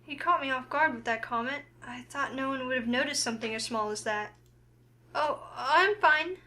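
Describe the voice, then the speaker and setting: Girl narrating a visual novel, high bright voice, acts out the main character's lines. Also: moderate pace. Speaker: game player and narrator. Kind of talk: reading a visual novel aloud, narration and heroine's lines acted.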